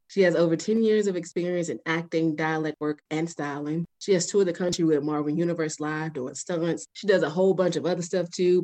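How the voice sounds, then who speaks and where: A young woman hosting a casual interview podcast, playful and quick to laugh. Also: fairly low pitch, mellow. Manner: laughs often, playful asides. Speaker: podcast host.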